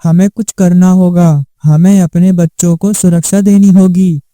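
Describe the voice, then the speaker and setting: A man's voice: high-pitched, little pitch movement, measured pace, warm tone, dry recording, clean studio sound. A man playing a worried, suspicious village man in a cartoon moral story, emphatic and questioning. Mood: accusing. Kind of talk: Hindi animated moral story acting.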